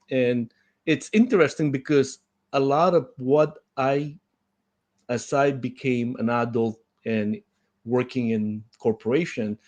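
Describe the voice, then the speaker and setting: A man from the Philippines with a mid-range voice speaks as an interview guest. He tells his story earnestly and at a measured pace. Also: medium pitch, darkish tone, dull audio. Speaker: guest, leadership coach. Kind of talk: interview answers.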